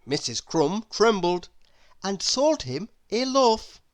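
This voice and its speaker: A storybook narrator reading a children's tale aloud in an animated, expressive way. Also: medium pitch, slow. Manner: animated, expressive, with emphatic sound words. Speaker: storybook reader.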